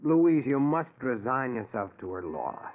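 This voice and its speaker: A man's voice: medium pitch, big pitch swings, a little slow. An actor playing a nervous, scheming husband in 1940s radio drama style, placating and stammering to hide his guilt. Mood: feigned concern. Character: nervous, scheming husband hiding guilt behind soothing concern. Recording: tinny, noisy 1940s radio recording.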